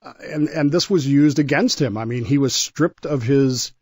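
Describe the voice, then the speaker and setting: Deep-voiced American man discussing history. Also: moderate pace, darkish tone, dry recording, clean studio sound. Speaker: history commentator on a radio show. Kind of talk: conversational radio discussion.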